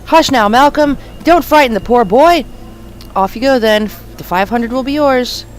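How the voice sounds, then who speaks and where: A woman voicing a smooth, affected male art dealer in a video game, reading his lines aloud with a drawl. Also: mid-range voice, moderate pace, faint music behind, pitched above the performer's own voice, natural voice, rough recording. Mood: sly. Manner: drawling, affected, calls people darling.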